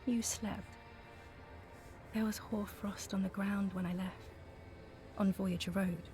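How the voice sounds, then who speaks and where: An actress voicing a haunting former lover in a video game, speaking softly and breathily. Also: female voice, medium pitch, steady tone, slow pace, faint music behind, natural voice. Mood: wistful. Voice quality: breathy, whispering. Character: lost former lover, wistful, haunting woman.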